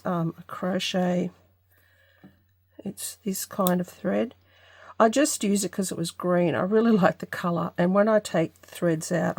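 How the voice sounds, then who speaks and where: A woman with a slightly low, congested voice hosts a relaxed craft tutorial. She speaks unhurriedly and tentatively, thinking aloud as she goes. The sound is dry, with no room echo. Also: gravelly, slightly rough. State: congested from allergy. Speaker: craft channel host. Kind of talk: casual craft tutorial vlog.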